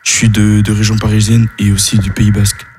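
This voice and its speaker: Teen boy, very low flat voice, relaxed and self-assured. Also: a little fast, soft background music. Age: teens. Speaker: guest.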